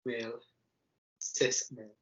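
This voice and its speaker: A man's voice: medium pitch, flat delivery, slow. Young man, muffled recording. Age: 20s. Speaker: interviewee. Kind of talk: casual personal storytelling. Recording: muffled lo-fi sound.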